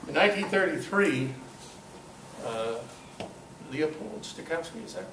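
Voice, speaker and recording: An older man giving an informal talk at a club meeting, telling personal anecdotes in a halting, conversational way. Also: on the high side, animated. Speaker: guest speaker at a club meeting. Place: meeting room, in front of an audience. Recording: echoey, noisy room recording from far off.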